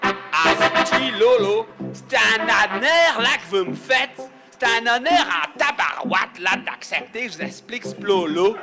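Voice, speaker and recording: A man improvising a boisterous Québécois man who presents a dish with loud laughter, in a put-on Québécois accent. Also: high-pitched, expressive, measured pace, music in the background. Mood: jovial. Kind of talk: live improvised radio comedy.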